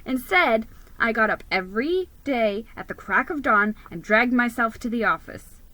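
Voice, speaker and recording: A woman giving an expressive, deliberate dramatic reading of a children's story, narrating in the first person as a mouse storyteller. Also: on the high side, no room sound, rough recording.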